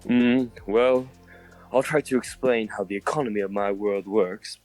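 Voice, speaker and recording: A man's voice: lowish voice, fairly even intonation, unhurried, darkish tone, lightly acted. A man playing a curious human scientist and engineer in a comic dub, formal and polite with a hesitant manner. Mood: cautious, curious. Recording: voice under background music.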